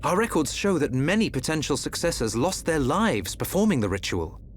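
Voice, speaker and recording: Earnest prince with lively pitch. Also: male voice, normal speed, a little hiss or hum. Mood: concerned. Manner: formal, earnest. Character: earnest, courtly prince, protective and deferential.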